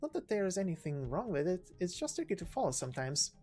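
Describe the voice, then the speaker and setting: A chatty young woman knight from a game story, acted by a woman, informal and kind-hearted. Her pitch swoops about as she talks, with music underneath. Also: very animated, fast talker, warm tone. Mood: easygoing.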